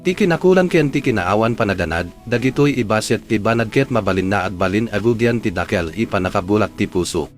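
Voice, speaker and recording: Low-voiced Ilocano radio drama narrator, a man. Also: animated, a little fast, hardly any pauses. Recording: music bed under the voice.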